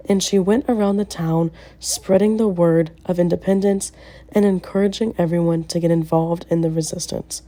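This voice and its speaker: A young woman reading a prepared film review and historical summary, slowing down carefully on names. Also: on the low side, mellow. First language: English. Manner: careful, slowed delivery on names. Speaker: student presenter. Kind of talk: scripted film review narration.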